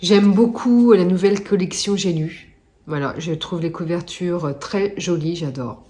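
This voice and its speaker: A woman's voice: lowish voice, a little slow, warm tone. A woman with a stuffy nose from a cold, chatting casually with many hesitations in a reading vlog. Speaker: book vlogger.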